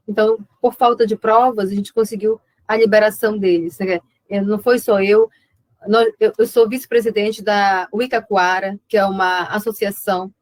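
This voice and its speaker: An invited woman writer on an online panel, northern Brazilian accent, thinking aloud and circling back over her words in a dry, echo-free spot. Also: mid-range voice. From Amazonas, Brazil (Alto Solimões). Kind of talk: conversational talk in a web conference. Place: remote web conference.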